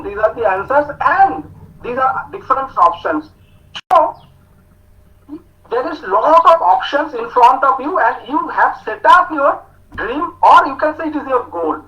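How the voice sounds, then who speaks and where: A man with an Indian English accent giving an online talk to students, speaking slowly with many hesitations as he reads out their answers. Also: very high-pitched. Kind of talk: online lecture with student chat. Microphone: video call. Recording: muffled video call audio.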